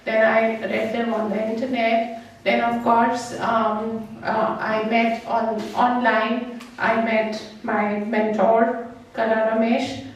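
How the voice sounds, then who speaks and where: A middle-aged woman from Punjab with an Indian English accent, speaking as a poet at a public reading. She pauses often with fillers and slips into Hindi phrases. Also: fairly high pitch, level tone, lo-fi sound. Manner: halting, many fillers, mixes in Hindi. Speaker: haiku poet giving a reading. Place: club function hall. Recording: echoey hall, mic far off.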